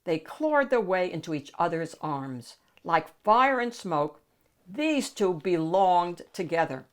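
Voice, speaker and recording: A woman's voice: fairly low pitch, sing-song, slow. A woman reading a picture book aloud expressively, as the host of a storytime. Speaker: storybook reader and channel host.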